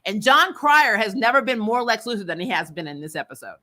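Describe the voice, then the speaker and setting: A woman hosting a casual, opinionated recap show, talking in a blunt, animated and emphatic way. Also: on the high side, a little fast. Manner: animated, blunt, freely swearing.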